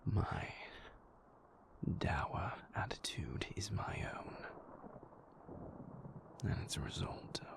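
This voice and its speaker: A very low, gravelly male vampire, acted by a man, murmuring close in half-whispers for an intimate audio roleplay. He is brooding and dour yet tender, and unfolds his formal phrases very slowly. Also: lively intonation, heavily acted.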